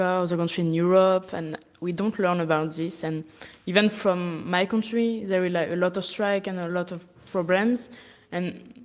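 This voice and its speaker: Hesitant woman in a reverberant room. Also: lowish voice. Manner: hesitant, many fillers. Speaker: interviewed student. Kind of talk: casual spoken opinion. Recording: long reverb, roomy.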